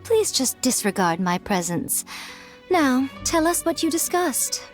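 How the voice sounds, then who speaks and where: An ancient fox spirit lady for an anime game. She is sly and playful with a fairly high, lively pitch. She speaks in a measured, teasing way, like a patient philosopher. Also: faint music behind.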